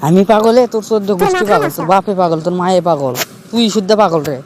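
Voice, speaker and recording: A man voices a cranky old madman uncle from a comic cartoon, aggrieved and always haggling. His Bogura accent comes rattling out at high speed in a slightly high voice. He blusters and repeats himself, and the recording has plainly audible noise. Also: animated, racing. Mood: indignant. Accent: Bogura, northern Bangladesh. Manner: quarrelsome, blustering, repetitive. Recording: noisy recording with clear background noise.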